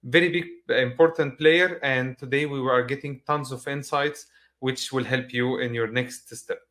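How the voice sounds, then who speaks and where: A man's voice: medium pitch, steady tone, normal speed, a bit bright. A man moderating an online session, giving formal, welcoming introductions and questions to his guest. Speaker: host, moderator. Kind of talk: hosting an online panel session.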